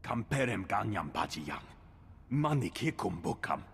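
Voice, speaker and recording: A gruff alien warrior from a game, growling in a guttural tongue with a gravelly rasp. His pitch swings widely, and a long reverb trails behind him. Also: big pitch swings, a little slow, a little hiss or hum, exaggerated voice. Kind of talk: video game cutscene acting.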